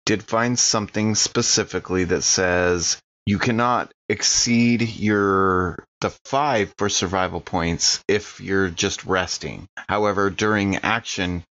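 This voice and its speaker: Kinda deep guy playing a tabletop rpg, casual, a bit lo-fi sounding mic. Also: fairly even intonation, slow pace, few pauses, a bit bright. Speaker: tabletop game player. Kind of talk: casual game talk with in-character lines.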